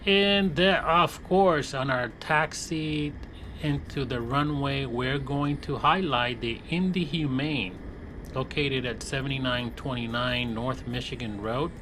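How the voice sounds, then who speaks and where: A man casually narrating his simulated flight, relaxed, with many fillers and restarts. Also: mid-range voice, background noise. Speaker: flight sim streamer. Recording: music playing behind.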